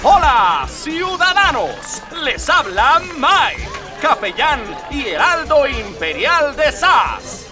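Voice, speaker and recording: An actor playing an excitable, pompous imperial herald giving live hunt commentary in a radio drama, with theatrical announcer delivery. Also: male voice, extremely high pitch, slow, a crowd in the background, full character voice. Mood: excited, proclaiming. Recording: music behind the voice.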